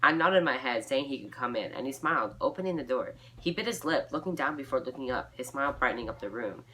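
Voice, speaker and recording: Low-voiced young woman reading aloud, bright tone. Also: expressive, fast, a little hiss or hum, poor audio. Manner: giggly, self-mocking. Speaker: vlogger reading own fan fiction aloud. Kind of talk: informal read-aloud with casual asides.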